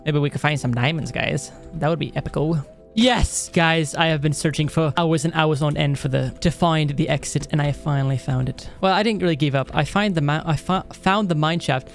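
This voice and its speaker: Chatty, excitable young man gaming. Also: fairly high pitch, fairly quick, vocal fry, faint music behind. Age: young adult. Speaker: gaming video host. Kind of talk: casual let's-play commentary. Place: indoor room at a desk. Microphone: close dynamic studio microphone.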